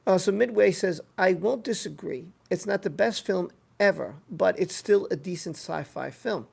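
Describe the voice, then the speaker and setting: A live stream host with a heavily creaky, gravelly voice talks off the cuff to viewers. The delivery is casual and rambling, moving between reading comments aloud and giving opinions, with phrases often repeated for emphasis. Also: mid-range voice, moderate pace, darkish tone.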